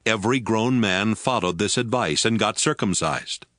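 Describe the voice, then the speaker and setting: A man's voice: fairly low pitch, moderate pace, a bit bright. A man giving scripted narration of scripture as the narrator of an audio Bible. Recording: music bed under the narration.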